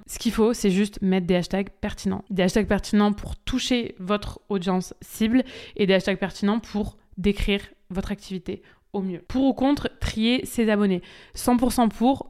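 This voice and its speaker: A woman's voice: mid-range voice, darkish tone, clear, resonant tone. A young woman hosting a casual solo podcast, giving direct, chatty answers full of fillers as a business coach. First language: French. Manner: chatty, direct, many fillers, talks straight to listeners. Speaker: podcast host, social media business coach.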